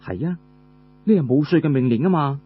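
A man's voice: a little high, lively intonation, fairly quick, narrow, tinny audio, a little hiss or hum, pitched below the performer's own voice, close to an everyday voice. A man voicing a proud, dutiful young general, a firm elder brother with formal, heroic speech. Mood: firm, cautioning.

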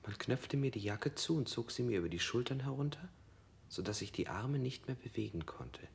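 Gravelly prisoner, numb flat telling, some whispering, 1960s radio play. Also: male voice, mid-range voice, moderate pace. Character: battered male prisoner under interrogation.

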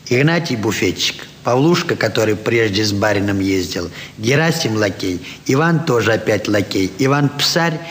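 An actor playing a simple, dreamy rustic servant who rambles naively in a stage comedy, with hall reverb and rumble. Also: male voice, animated, normal speed, few pauses, fairly bright tone. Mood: plodding, proud. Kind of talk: theatrical classic stage comedy acting.

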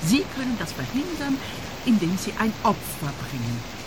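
A woman's voice: medium pitch, big pitch swings, normal speed, background noise, natural voice. An actress playing a grumbling, money-grubbing older woman with a portentous, querulous manner in a radio play. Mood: ominous.

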